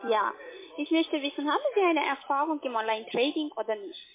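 A woman makes a sales cold call in a fairly high voice. She comes through tinny and narrow, as over a telephone. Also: brisk, crowd noise. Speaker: call-centre phone caller. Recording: quiet tinny phone line.

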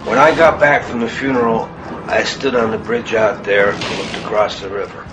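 A man's voice: on the low side, fairly even intonation, moderate pace, music in the background, close to an everyday voice. An actor playing a weary, grieving priest losing his faith in a radio play, brooding and bleak. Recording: dull, noisy radio drama recording.

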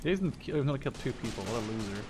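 A man in his twenties from the Netherlands chatting casually as he plays a game, excitable and prone to exclaiming. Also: medium pitch, very fast. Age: 20s. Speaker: gaming video host and player. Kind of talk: casual gameplay chat and banter. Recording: game gunfire and artillery noise behind. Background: gunfire and artillery from a war game.

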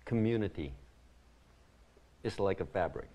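Animated middle-aged man from Taiwan. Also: sits low, a little slow. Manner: animated, gestures with hands while explaining. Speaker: guest, community founder. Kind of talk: earnest interview answers. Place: ornate mansion living room.

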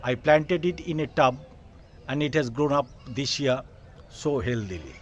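A man's voice: mid-range voice, lively intonation, a little slow. A man in his sixties with a Bengali accent, earnestly hosting a gardening vlog in his rooftop garden and calling his viewers "dear friends.". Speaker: gardening channel host. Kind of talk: casual gardening vlog tour.

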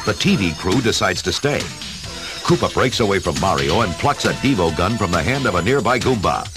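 A man narrating an adventure story in a measured, dramatic scripted style. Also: low-pitched, sing-song. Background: dramatic music.

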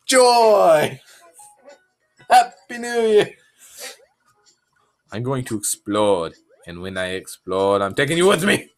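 Young man, sick, deeper voice than usual, goofy gameplay commentary, slow drawl. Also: big pitch swings, soft background music. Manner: goofy, self-mocking, talks to viewers. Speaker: gamer.

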